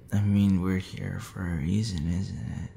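A man playing a tipsy, smitten young man in an intimate ASMR roleplay, shy, tender and halting in a hesitant murmur. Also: low-pitched, steady tone, gravelly, mellow, a little hiss or hum, voice pushed down, heavily acted.

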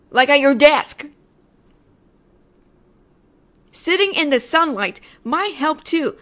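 A woman's voice: fairly high pitch, fairly bright tone. A woman voicing a judgemental tsundere female ghost in an audio roleplay, sarcastic and nagging but secretly caring, speaking directly to the listener. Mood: bossy, coaxing.

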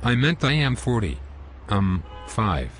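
A man voicing a rude, scruffy homeless man in an amateur animated comedy, with slow, sulky, insulting speech. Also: fairly low pitch, faint music behind. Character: rude scruffy homeless man who lies about everything.

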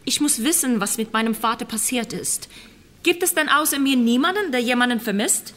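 Determined, questioning passenger. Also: female voice, fairly high pitch, animated, a little fast, fairly bright tone. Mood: insistent, worried. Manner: insistent, direct. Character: determined, questioning young female passenger, worried daughter. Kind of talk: modern German radio crime drama acting.

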